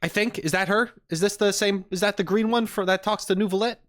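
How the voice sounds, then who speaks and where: A man chatting casually on a livestream as he reacts to game trailers, rambling in an animated, self-deprecating way. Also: high-pitched, quick pace, dry recording, clean studio sound.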